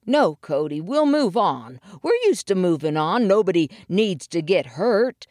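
A woman's voice: a little high, sing-song, slow, dry recording, clean studio sound, pitched above the performer's own voice. A woman voicing a meek, peaceable muskrat father in a western storybook, pleading in folksy talk. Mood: resigned.

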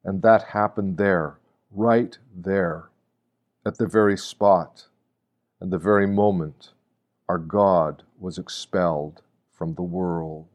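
A man reading a scripted devotional meditation like a sermon, solemn and deliberate, posing rhetorical questions. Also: deep voice, fairly even intonation, darkish tone, muffled recording. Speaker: reader of a Lenten meditation.

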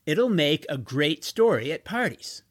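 A man voicing a smooth-talking stable salesman with superficial charm in an audiobook. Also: on the high side, sing-song, unhurried, no room sound, clean studio sound.

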